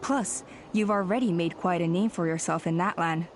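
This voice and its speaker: A woman's voice: on the low side, a little hiss or hum, natural voice. Woman playing a dignified, courteous warrior elder. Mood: gracious. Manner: formal, measured, earnest. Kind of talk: anime game voice acting.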